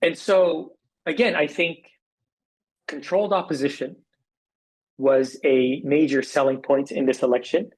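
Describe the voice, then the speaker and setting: A man from Arizona with an American accent, speaking as an interview guest, making political points in a measured, emphatic way with repetition. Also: on the high side, fairly even intonation, darkish tone, poor audio. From Arizona, United States.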